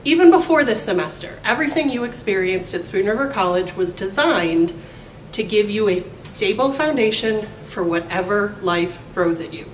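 An American woman in middle age gives a formal prepared speech at a measured pace of phrasing. She is some way from the mic in an echoey room. A faint rumble sits behind her. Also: lively intonation, recorded from a distance. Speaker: college faculty speaker. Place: indoor room at a podium.

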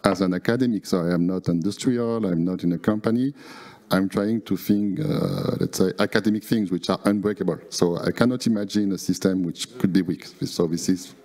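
A man speaking as an academic on a conference panel, halting and hesitant with many fillers, into a handheld mic. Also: low-pitched, dark tone. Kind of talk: panel discussion. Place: conference stage.

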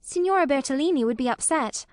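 A woman's voice: high-pitched, expressive, fast, no echo, voice pushed up. A woman voicing an earnest, guarded and stubborn genteel young girl in an audiobook. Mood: subdued. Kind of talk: audiobook character acting.

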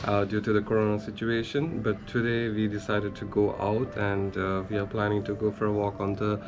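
A man co-hosting a casual travel vlog, calmly explaining each step of the outing. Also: fairly low pitch, a little fast. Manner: easygoing, explains plans step by step. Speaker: vlog co-host. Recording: loud background music under voice. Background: music.

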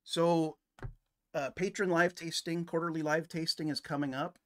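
A man's voice: fairly high pitch, fairly even intonation, normal speed. A middle-aged man chatting casually as the host of a livestream. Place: indoor room with decorated wall.

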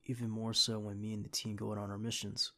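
A young man playing a devoted secret agent boyfriend in an audio roleplay, with a husky, gravelly voice and an earnest manner. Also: on the low side, monotone, quick pace, bright, forceful tone. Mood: gentle, comforting. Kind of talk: ASMR boyfriend roleplay acting.

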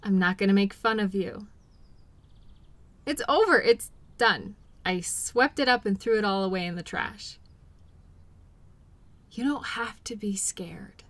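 A woman playing a caring, patient girlfriend in an intimate role-play audio, speaking gently and reassuringly to comfort her partner. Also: mid-range voice, animated, measured pace, long pauses, dry recording. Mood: soothing.

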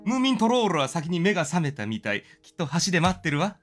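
A man voicing a gentle, motherly adult female troll from a storybook game, speaking softly and kindly in homely, polite phrases. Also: a little high, very animated, quick pace, soft background music. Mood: kindly, wistful.

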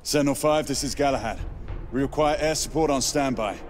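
An actor playing a stern, dry-witted British knight soldier in middle age, with a British accent, in a video game. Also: male voice, medium pitch, fairly quick, faint music behind, natural voice. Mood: commanding. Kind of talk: video game cutscene acting.

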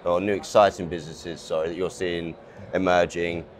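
Young man, very low voice, hesitant questions, studio lapel mic, faint hiss. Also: flat delivery, moderate pace. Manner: hesitant, rephrases questions with fillers. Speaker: interviewer. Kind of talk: interview questions. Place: small studio with branded backdrop.

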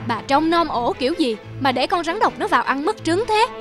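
A woman's voice: sits high, lively intonation, brisk. Woman doing a bossy cartoon rooster husband, exasperated, telling off his wife, music under it. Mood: scolding. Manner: scolding, exasperated, emphatic. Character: stern, scolding rooster husband. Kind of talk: Vietnamese cartoon dubbing. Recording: music behind the voice.

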